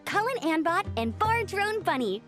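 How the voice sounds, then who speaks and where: A woman's voice: extremely high pitch, sing-song, moderate pace, a bit bright, full character voice. A woman voicing a friendly, enthusiastic young inventor with a chipper manner in an anime-style video game. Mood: proud, upbeat. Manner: chipper, explanatory. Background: background music.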